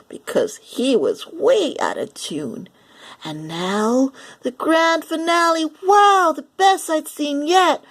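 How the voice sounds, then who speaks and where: A woman reading a picture book aloud for young children, animated and deliberate, acting out the lines as she goes. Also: sits high. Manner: animated, deliberate, acts out lines and sound effects. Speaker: children's story reader.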